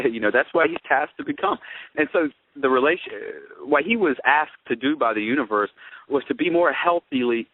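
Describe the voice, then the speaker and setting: A man's voice: mid-range voice, no room sound. A man co-hosting a talk show, giving conversational astrological analysis in a wordy, expository way with rhetorical questions. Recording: narrow phone-like radio sound.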